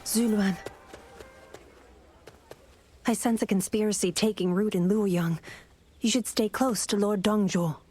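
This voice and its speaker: An actress playing a solemn, thoughtful female companion in a video game, speaking in a measured, earnest way over music. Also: medium pitch, a little mellow, a little hiss or hum, close to an everyday voice. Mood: wary. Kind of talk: dramatic video game voice acting. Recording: music behind the voice.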